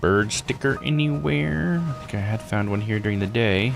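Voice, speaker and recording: A man's voice: on the low side, moderate pace, creaky. A man doing casual let's play commentary as a game streamer, thinking aloud in folksy, slangy phrases. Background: game music.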